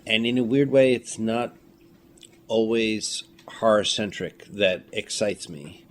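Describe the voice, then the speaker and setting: Deliberate, low-pitched man explaining his thinking. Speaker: interview guest, filmmaker and producer. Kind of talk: reflective conversational talk. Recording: faint background room noise.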